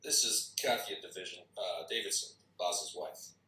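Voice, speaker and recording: A man's voice: sits low, moderate pace, sharp tone. An actor reading a sardonic, teasing male handler at a screenplay table read. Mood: dry, sardonic. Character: sardonic male handler coaching over an earpiece. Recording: distant mic, echoing room.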